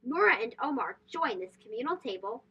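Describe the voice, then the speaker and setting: A woman reading a picture book aloud to young children in an expressive, sing-song, emphatic way. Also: on the high side, a little fast, slightly rough, dull audio. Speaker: children's read-aloud host. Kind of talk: animated storybook read-aloud for young children.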